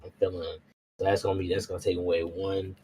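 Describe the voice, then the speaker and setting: A man with a low voice plays a tabletop game in casual, slangy talk. He thinks aloud and repeats himself as he works through his choices. There is faint noise on the recording. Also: flat delivery, fast talker, dark tone. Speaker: tabletop roleplay player.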